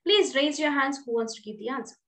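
Female coding instructor, high voice, quizzing tone, video call mic. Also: fast talker, fairly bright tone. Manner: questioning, explains step by step with repeated "right?". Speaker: programming instructor. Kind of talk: online class lecture. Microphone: webcam on a video call.

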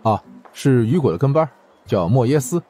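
A man voicing a gruff, dismissive middle-aged department chief with a husky, condescending drawl in an audiobook. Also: mid-range voice, animated, moderate pace, dark tone, soft background music, voice pushed up.

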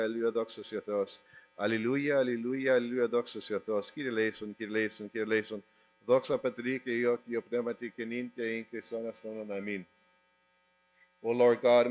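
A man reciting psalms in a steady, chant-like liturgical reading, with small slips and restarts. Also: medium pitch, dull audio. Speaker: reader of psalms.

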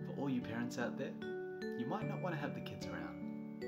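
A man in his thirties doing a comedic, theatrical storybook reading, moving between a soft bedtime tone and exasperated delivery. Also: medium pitch, sing-song, a little fast, over loud music. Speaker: presenter reading a picture book. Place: small furnished nursery room indoors.

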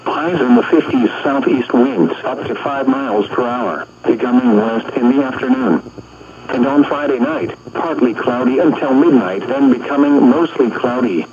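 Robot guy reading marine forecast on weather radio, mid pitch, noisy dull sound. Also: a little mellow. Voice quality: synthetic text-to-speech. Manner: measured, impersonal, segmented phrasing. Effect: weather radio broadcast. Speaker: automated weather radio announcer. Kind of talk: scripted weather bulletin reading. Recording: noisy muffled radio picked up by microphone.

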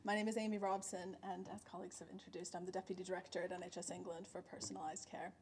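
A woman's voice: on the low side, level tone, quick pace, a little mellow, a little hiss or hum. A woman from the United States with a Boston accent, giving an emphatic, data-driven keynote talk at a conference. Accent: Boston American. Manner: emphatic, data-driven, punchy repeated "fact" refrains. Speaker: keynote speaker, health service director. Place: conference lecture room. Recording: echoey room recorded from a distance.

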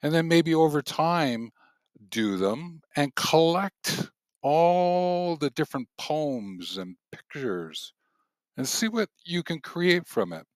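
A man narrating nature walking activities as a host, gently guiding in measured, deliberate phrases with pauses. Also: a little high, expressive, no echo.

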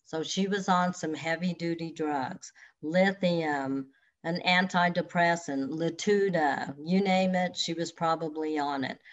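A woman's voice: low voice, steady tone, a little slow. A woman in her sixties, a counselor, speaking earnestly and advising listeners directly over a video call from her home. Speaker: counselor and hypnotherapist. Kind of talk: online expo talk answering questions. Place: home room with large windows. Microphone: webcam on video call. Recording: dull lo-fi video call audio.

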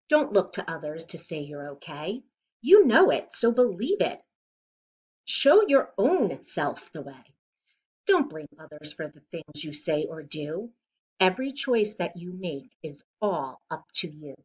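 A woman's voice: on the low side, breathy edge. Middle-aged woman reading aloud, dull lo-fi sound. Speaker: teacher reading a picture book aloud. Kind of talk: read-aloud storytelling of rhyming children's book. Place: living room at home, indoors.

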